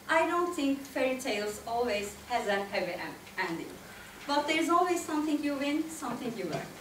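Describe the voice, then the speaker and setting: A woman in her thirties with a Turkish accent giving an expressive, prepared storytelling speech to listeners. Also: high voice, recorded from a distance. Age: 30s. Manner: expressive, addresses listeners directly. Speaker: club speaker, tango dancer. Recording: echoey room from afar, faint noise.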